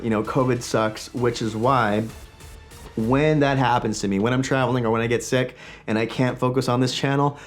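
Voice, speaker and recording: An enthusiastic man in his forties talks casually to his camera from a small home studio, with a slight rasp left over from being sick. His pitch sits in a plain middle range, and he chats along in a lively, friendly flow. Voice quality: slightly raspy voice. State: recovering from illness. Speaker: toy collecting channel host. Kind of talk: casual vlog talk to camera.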